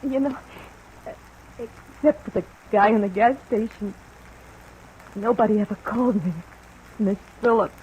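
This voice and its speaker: An actress playing a despairing, world-weary woman in an old radio crime drama, her delivery slow and emotional. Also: mid-range voice, a little mellow, natural voice. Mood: wistful, defeated. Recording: muffled, noisy vintage radio recording.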